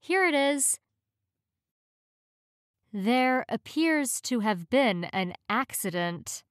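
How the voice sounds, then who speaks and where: A woman reads short practice phrases one at a time in a clear, measured voice. She speaks very slowly and sits a bit high in pitch. The recording is dry and close, with no room sound. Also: lively intonation, dry recording. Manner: clear, measured, each phrase read twice. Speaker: English phrase reader. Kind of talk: slow, careful reading of practice phrases.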